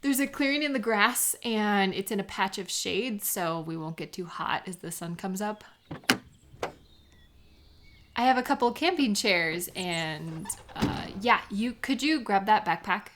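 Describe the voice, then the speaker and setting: Warm, teasing female best friend, played by a woman; mid-range voice, chatty and encouraging, natural audio-roleplay delivery. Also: mid-range voice, expressive, lightly acted. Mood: friendly, relaxed. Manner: chatty, gently encouraging, hesitant little pauses. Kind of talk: lighthearted intimate audio roleplay, one-sided conversation.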